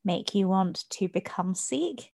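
A woman's voice: mid-range voice, animated, slow pace, creaky, a little mellow, dry recording, studio quality. A woman interviewing a guest, asking friendly, curious questions.

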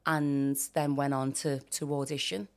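A low-pitched woman with a Liverpool accent tells stories from her career in a relaxed podcast chat. Her talk is easy and chatty, with plenty of ums and restarts. She sounds like someone in her late forties. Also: a little slow. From Liverpool, England. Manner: chatty storytelling with frequent fillers. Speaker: guest, performer and former professional dancer. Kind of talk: casual podcast interview.

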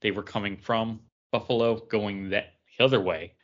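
A man's voice: on the low side, fairly even intonation, normal speed, muffled recording. A man chatting casually as a podcast co-host, telling stories in a rambling, meandering way. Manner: rambling storyteller. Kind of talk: casual chat.

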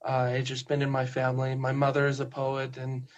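A man from Spain with a Spanish accent, a guest poet who chats hesitantly and reads his poems slowly and softly. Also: medium pitch, completely flat delivery. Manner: hesitant, soft-spoken, slow measured reading. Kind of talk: casual chat and poetry reading.

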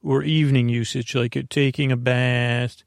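A man's voice: mid-range voice, level tone, normal speed, gravelly, dry recording, clean studio sound. A man hosting a sleep podcast, rambling in a casual, easygoing way and often stumbling over his words. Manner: easygoing, companionable.

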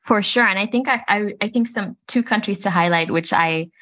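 A woman's voice: medium pitch, brisk, a bit bright, no room sound. A woman from Malawi answering interview questions in an earnest, precise way, citing figures. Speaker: guest from an aid organisation.

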